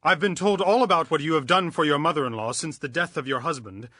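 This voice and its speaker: Bright-voiced, authoritative landowner. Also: male voice, fairly high pitch, fast talker, no echo. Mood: kindly, firm. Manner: firm, protective. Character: kindly, authoritative landowner of standing. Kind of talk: dramatized audio Bible acting.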